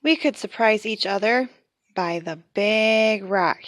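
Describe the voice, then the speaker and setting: A woman giving an expressive read-aloud of a children's picture book, acting out the characters. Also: medium pitch, slow pace, dry recording.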